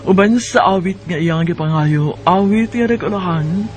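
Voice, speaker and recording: A man's voice: sits high, normal speed, faint music behind. A man with a Filipino Bisaya accent presenting a radio drama in a scripted announcer style.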